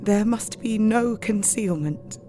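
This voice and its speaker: A woman's voice: mid-range voice, slow pace, close to an everyday voice. A woman playing a brave, sorrowful young wife in an audio drama, earnest and restrained, with music behind her. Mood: sorrowful resolve. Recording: music under the voice.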